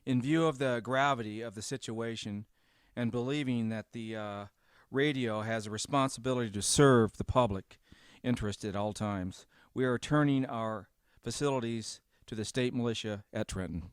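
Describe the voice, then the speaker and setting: A man's voice: mid-range voice, moderate pace, clean tone, no room sound, clean studio sound, lightly acted. A man playing a stiff radio executive. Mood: formal. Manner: formal, stiff statement. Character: male radio executive making formal statement. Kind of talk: 1930s radio drama acting.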